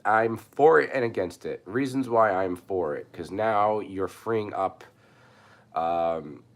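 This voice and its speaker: A man hoarse from a cold, talking casually on his channel, halting and restarting with many fillers. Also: fairly low pitch. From New York. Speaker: prepper channel host. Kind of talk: casual live commentary.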